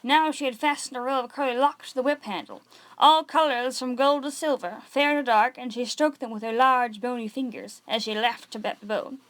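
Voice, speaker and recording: High pitched lady reading a novel out loud, trips on words now and then and fixes them. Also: moderate pace. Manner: stumbles over words and corrects herself. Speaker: amateur reader of an audiobook read-along. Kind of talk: read-aloud storybook narration.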